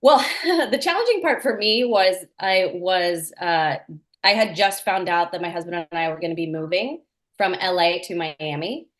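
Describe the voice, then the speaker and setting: A woman's voice: on the low side, expressive, moderate pace. A woman from Norway, an actress, answering interview questions in an earnest, enthusiastic way with small laughs. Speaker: actress, guest.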